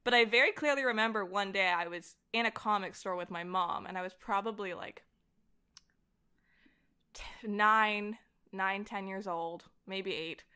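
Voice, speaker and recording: A woman's voice: medium pitch, unhurried, bright, forceful tone, clear, resonant tone. A young adult woman telling personal stories in a casual chat as a podcast guest, acting out bits of what people said. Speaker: podcast guest, voice actor and director.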